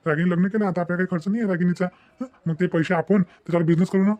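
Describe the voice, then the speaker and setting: A man's voice: high-pitched, steady tone, racing, a little mellow, lightly acted. A man voicing an insistent, money-minded adult son in a family cartoon, arguing persuasively and repeating his points. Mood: persuading. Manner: persuading, repetitive, argumentative. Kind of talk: Marathi family cartoon dialogue.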